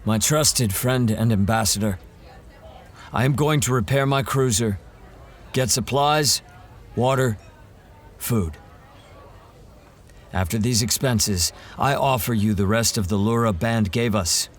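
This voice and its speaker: A man's voice: medium pitch, slow pace, pauses often, slight background noise, lightly acted. An actor playing a hardened male monster hunter in an audio drama, blunt and earnest.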